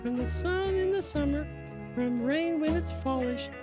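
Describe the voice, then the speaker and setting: A man voicing a small, eager boy kangaroo riding in his mother's pouch, speaking in a high, shrill voice. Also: unhurried, over music. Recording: dull lo-fi dry recording.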